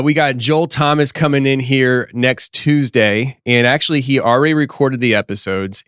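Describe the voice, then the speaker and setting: Chatty host with a hoarse voice. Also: male voice, medium pitch, fairly bright tone, no echo, clean studio sound. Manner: rambling, talkative, many fillers and restarts. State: slightly hoarse, losing his voice. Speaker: podcast host. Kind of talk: casual live-stream chat. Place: office studio.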